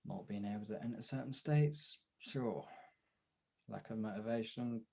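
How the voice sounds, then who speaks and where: A man with a gravelly, husky voice in a casual, personal chat to viewers, halting and candid, swearing often. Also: lowish voice, darkish tone. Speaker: streamer.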